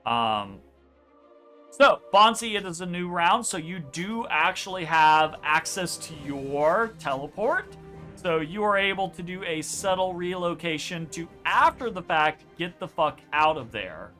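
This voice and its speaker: A man running a tabletop game as game master, casual and animated, swearing freely and trailing off with filler words. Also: high voice, measured pace, faint music behind. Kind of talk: narrating a tabletop game online.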